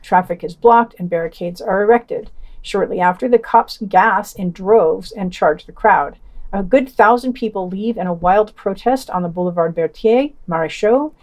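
Woman podcast host reading out a written news digest, mid-pitched voice. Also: normal speed, darkish tone. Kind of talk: scripted news digest reading with quoted excerpts.

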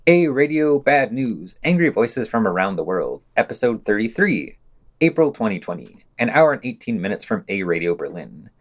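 Male podcast host, wry and opinionated, scripted read with lively, varied pitch. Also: normal speed. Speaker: podcast host reading news reviews.

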